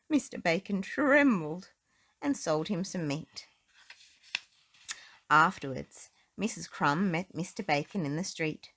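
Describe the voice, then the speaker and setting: Casting: female children's story narrator, expressive and very unhurried, with animated intonation. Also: deep voice. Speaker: storybook reader.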